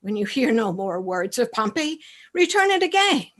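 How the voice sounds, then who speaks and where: A woman's voice: a little high, big pitch swings, moderate pace. A woman playing a blunt, dramatic character who declaims Shakespearean verse in a radio play. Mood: dry, blunt. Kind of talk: live radio play acting.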